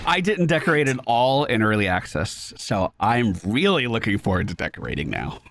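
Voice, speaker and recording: A man doing live gaming commentary, excitable and exclamatory, swearing and rapidly repeating words. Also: on the low side, creaky, slight background noise. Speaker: video game streamer.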